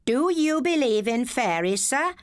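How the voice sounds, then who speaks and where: A woman's voice: high voice, sing-song, a little slow, no room sound, clean studio sound, pitched above the performer's own voice. An English woman voicing a cheeky schoolboy joker in a storybook, pert and mock-polite. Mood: mock respectful.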